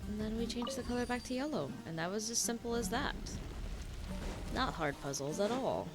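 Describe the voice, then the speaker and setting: A woman's voice: on the high side, lively intonation, normal speed, over music. A woman gaming streamer giving casual reaction commentary as she plays. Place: game audio and music behind the voice.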